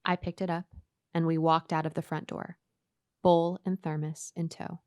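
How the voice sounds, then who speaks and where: An actress playing an uneasy, obedient woman who tells her eerie story in a measured way in a radio horror play. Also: deep voice, little pitch movement, a little mellow, close to an everyday voice. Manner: measured, first-person storytelling.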